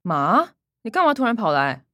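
A woman's voice: mid-range voice, very animated, normal speed, sharp tone, no echo, clean studio sound. A woman playing an exasperated, nagging elder sister with a Taiwanese accent in a radio drama, curt and reproachful.

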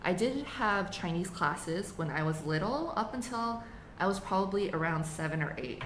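A young woman born in the United States, chatting casually as a student podcast co-host, relaxed and laughing often. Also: on the low side, normal speed. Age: young adult. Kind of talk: casual chat. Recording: echoey room, faint hiss.

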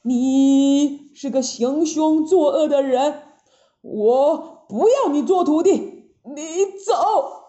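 A woman's voice: high-pitched, moderate pace, creaky, hardly any pauses, mellow. A woman voicing a stern, fretful monk master who scolds his disciple with exclamations in a children's story. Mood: angry. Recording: roomy, dull lo-fi sound.

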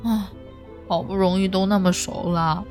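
A woman's voice: medium pitch, steady tone, normal speed, darkish tone, faint music behind, close to an everyday voice. A woman acting a friendly, curious young office colleague in a radio drama, chatty and coaxing. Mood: wistful, tactful.

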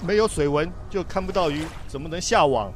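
An actor playing a steady, chiding old fisherman in a biblical radio drama, with a theatrical, admonishing delivery. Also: on the high side, brisk, faint music behind, close to an everyday voice.

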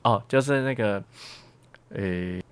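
A man's voice: lowish voice, slow pace, clear, resonant tone, no room sound. A young man as a student guest in a casual radio interview, explaining things reflectively and repeating words as he goes. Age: young adult.

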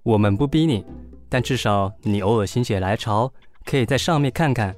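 An actor playing a sensible, reassuring young adult son who reasons calmly, in an audio drama. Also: male voice, mid-range voice, normal speed, soft background music, natural voice. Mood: calm, patient.

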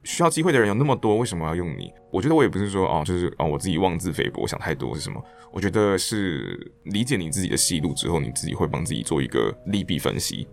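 A very deep-voiced man with a Taiwanese Mandarin accent chats as an interview guest. He explains himself earnestly at a quick pace, with lively swings of intonation. Faint music plays behind him. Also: gravelly. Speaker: interview guest, stage director and actor. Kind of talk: reflective casual interview chat.

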